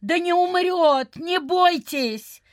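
A woman's voice: very high voice, expressive, slow, no room sound, studio quality, exaggerated voice. A woman playing a genteel, insistent provincial lady in a radio play, speaking in a mannered way. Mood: scolding, dismissive. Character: genteel, wheedling, sensible lady house guest in provincial Russia. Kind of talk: Soviet-style radio theatre acting.

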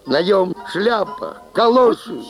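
A wry, folksy fairy-tale storyteller. The voice is high, creaky and lively, gossiping in rustic style over music. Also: male voice, takes it slowly, heavily acted. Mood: wry, gossipy. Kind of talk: theatrical folk-dialect storytelling. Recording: music behind the voice.

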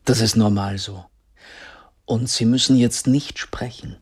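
Radio drama role: polite, soft-spoken male violinist, slightly wistful, breathy voice in a dry studio. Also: mid-range voice, fairly even intonation, unhurried, dry recording. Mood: soothing. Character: courteous, wistful 18th-century violinist attending a patient.

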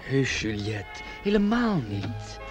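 A man's voice: mid-range voice, very animated, slow, music in the background. A young man playing an earnest, lovestruck city holidaymaker in a radio play, hesitant and coaxing. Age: young adult.

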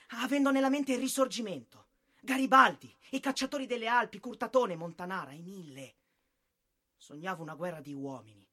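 An actor playing a bitter, disillusioned young soldier killed in war, in a husky, theatrical monologue. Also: male voice, high voice, sharp tone. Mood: impassioned. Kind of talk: dramatic stage monologue, radio drama.

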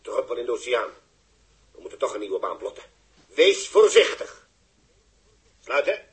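An actor playing a stern mission official who scolds and commands emphatically in a 1960s radio drama. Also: male voice, mid-range voice, big pitch swings, moderate pace, a bit bright. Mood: urgent, cautioning.

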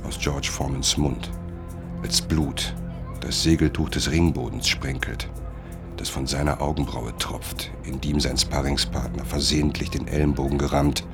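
A man's voice: very deep voice, fairly even intonation, normal speed, vocal fry. An actor playing an obsessed, self-deluding male writer in a literary radio drama, with music behind him. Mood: grave, brooding. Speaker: lead actor and first-person narrator.

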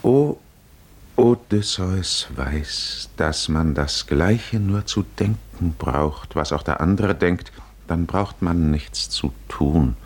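A man narrating a 1950s literary radio play in a musing, measured manner with deliberate pauses. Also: sits low, slightly rough.

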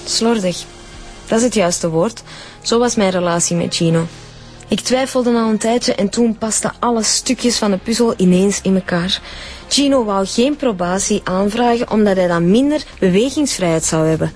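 Actress as a cold Flemish widow confessing, faint music under. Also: female voice, mid-range voice, moderate pace, darkish tone, natural voice. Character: Annie, bitter widow who killed her husband. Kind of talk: radio drama acting.

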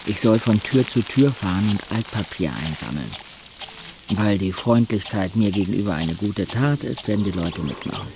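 An actor playing a wry young man who narrates his village life in an audio drama, dry and observant, with a gravelly voice. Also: on the low side, expressive, moderate pace, background noise. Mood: dry, sardonic.

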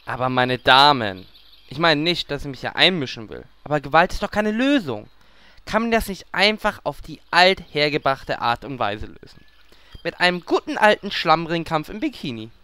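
Smarmy, bright high-voiced lecher. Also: male voice, expressive, moderate pace, smooth, dry recording. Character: smarmy, lecherous male meddler feigning politeness. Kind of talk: comedy fantasy audio drama acting.

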